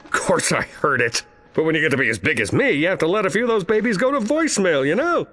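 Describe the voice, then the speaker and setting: An actor playing a pompous, boastful celebrity space hero in an animated video game. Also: male voice, high-pitched, fast talker, exaggerated voice. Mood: flippant, self-satisfied. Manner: pompous, self-aggrandizing. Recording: music behind the voice.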